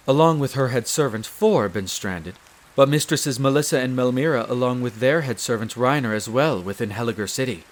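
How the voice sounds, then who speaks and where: A man's voice: medium pitch, lightly acted. A man playing a courteous, devoted head servant of a vampire castle in a roleplay audio drama, speaking with formal, polite diction. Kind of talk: scripted roleplay audio drama, addressing the listener.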